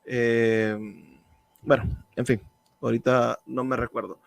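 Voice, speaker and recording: A man hosts a book radio show in a clear announcer's manner. He asks his guest questions and invites listeners to write in. His voice sits at an ordinary middle pitch. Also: flat delivery, slow, no echo. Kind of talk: radio interview hosting and announcements.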